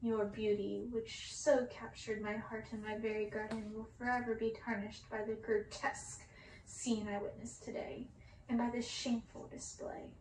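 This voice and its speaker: A woman's voice: fairly high pitch, level tone, normal speed, darkish tone, echoey room, distant mic, a little hiss or hum, natural voice, low-quality recording. A woman voicing a haughty, annoyed young prince in an ASMR storybook reading, cold, formal and dismissive. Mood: cold, scornful.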